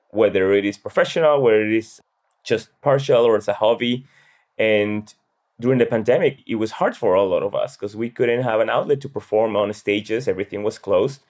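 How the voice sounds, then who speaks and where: A man's voice: on the low side, darkish tone. A man from Peru talking casually as an interview guest. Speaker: guest, comedian and satire writer.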